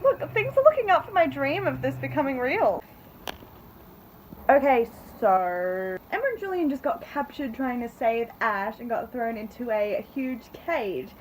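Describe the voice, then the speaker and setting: A high-pitched woman chats casually as a book vlogger, retelling a story like an invested fan. Her talk is slangy and gushing, with dry sarcastic asides. It is amateur phone audio with faint noise behind her. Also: lively intonation, measured pace, poor audio. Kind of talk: casual reaction commentary, retelling a novel.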